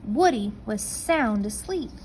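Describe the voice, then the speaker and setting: A woman reading a picture book aloud to children, pausing to point young listeners to the pictures. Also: a little high, animated, a little mellow, slight background noise, low-quality recording.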